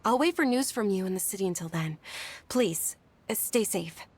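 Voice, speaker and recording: An actress playing a gentle, caring young noblewoman in a video game, speaking softly, politely and with some hesitation. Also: mid-range voice, animated, moderate pace, a little hiss or hum, close to an everyday voice. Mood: concerned. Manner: soft-spoken, hesitant, polite. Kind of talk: game voice acting, story dialogue.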